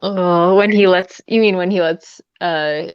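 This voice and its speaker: A woman's voice: on the low side, steady tone, a little slow, clear, resonant tone, muffled recording. A woman hosting a casual book discussion in an informal, agreeable manner. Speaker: livestream host of a book channel.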